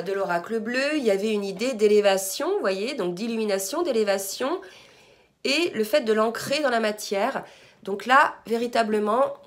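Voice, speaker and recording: Hoarse woman reading cards. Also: mid-range voice. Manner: soft-spoken, addresses viewers with "d'accord?"; murmurs requests to her guides. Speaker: tarot card reader. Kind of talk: spiritual card reading to viewers.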